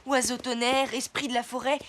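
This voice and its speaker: A woman's voice: high voice, little pitch movement, moderate pace, a bit bright, a little hiss or hum. A woman voicing a brave, stubborn young boy hero in a cartoon dub. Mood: determined, curious. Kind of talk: animated series dubbing. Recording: music behind the voice.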